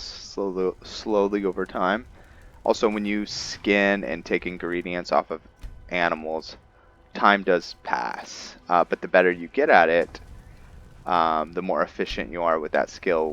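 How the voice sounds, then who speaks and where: A man giving casual commentary on a game roleplay, thinking aloud in an unhurried way. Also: low voice, a bit bright, muffled recording. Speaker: gamer narrating roleplay playthrough.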